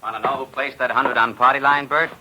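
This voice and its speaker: A man playing a brisk, obliging betting-office clerk in an old-time radio drama. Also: mid-range voice, fairly even intonation, lightly acted. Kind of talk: 1940s radio drama acting. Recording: noisy old radio recording.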